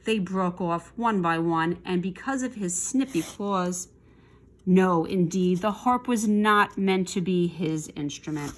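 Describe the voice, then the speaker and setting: A woman's voice: on the low side, a little hiss or hum. A woman reading a children's story aloud expressively, with chatty asides to young listeners. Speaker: storybook reader.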